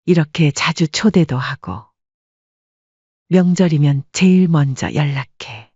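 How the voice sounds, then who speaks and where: A woman's voice: low voice, measured pace, mellow, slightly rough, no echo, muffled recording, full character voice. A woman playing a wistful widowed grandmother in her late sixties, narrating her life story from a script. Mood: wistful, aggrieved. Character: sorrowful 68-year-old widowed restaurant-owner grandmother.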